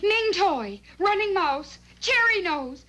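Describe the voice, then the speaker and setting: A woman's voice: very high-pitched, animated, takes it slowly, sharp tone, full character voice. A woman voicing a young maiden in a comic cartoon, speaking in a melodramatic, plaintive manner. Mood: wary. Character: dramatic young maiden, miller's daughter turned queen. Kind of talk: comic cartoon character acting.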